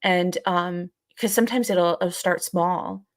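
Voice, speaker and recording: A woman with a fairly low voice and a strong vocal fry talks casually as a podcast co-host. She feels her way through each thought in a halting, hesitant manner. The sound is dry and close. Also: fairly even intonation, no room sound. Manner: hesitant, halting, thinking aloud. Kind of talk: casual discussion.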